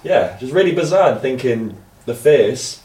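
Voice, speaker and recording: A man's voice: mid-range voice, lively intonation, unhurried, a little mellow. A man from East Yorkshire with a Yorkshire accent, a podcast host chatting in a laid-back way. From Driffield, East Yorkshire. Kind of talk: casual chat. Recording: echoey room, distant from mic.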